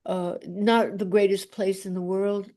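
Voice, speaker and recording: A woman's voice: medium pitch, level tone, measured pace, warm tone. Older woman, blunt and rambling. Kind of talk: casual group chat.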